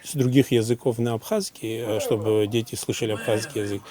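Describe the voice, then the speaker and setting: A man's voice: fairly low pitch, fairly quick, crowd noise. A man speaking casually in an interview about his work on a dubbing team, hesitant and thinking aloud. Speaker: member of a cartoon dubbing team. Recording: steady background noise.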